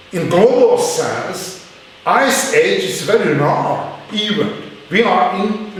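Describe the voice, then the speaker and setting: A man with a Hungarian accent gives a university lecture in hesitant English, full of uh's and restarts. His slightly high voice is picked up from far off in a very echoey hall, with a faint hiss behind it. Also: fairly bright tone. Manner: halting non-native English with many fillers.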